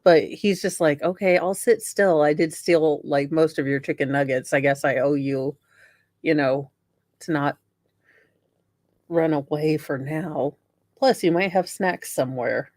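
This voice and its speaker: A woman's voice: sits low, measured pace, darkish tone, dry recording, studio quality. A woman co-hosting in casual, conversational chat. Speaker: co-host.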